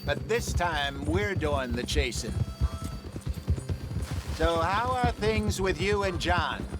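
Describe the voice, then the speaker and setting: Aging American outlaw mentor, high-pitched for a man, patient, fatherly, measured, Western game dialogue, music behind. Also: animated. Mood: easygoing. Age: older. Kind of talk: video game dialogue acting.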